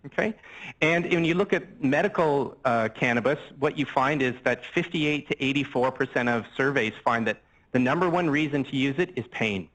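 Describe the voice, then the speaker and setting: A man's voice: medium pitch, a bit bright, rough recording. Older canadian guy, doctor lecturing into a mic, chatty, explains stuff with everyday examples. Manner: explains with everyday analogies and asides. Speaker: physician lecturer. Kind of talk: conversational medical lecture. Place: lecture room. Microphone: handheld mic, swapped mid-talk.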